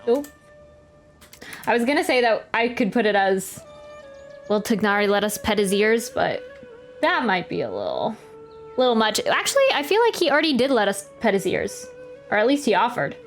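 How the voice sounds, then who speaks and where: A woman with a somewhat high voice streams a game. She talks casually and chattily, thinking aloud and responding to chat, while faint game music plays behind her. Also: big pitch swings, normal speed, pauses often.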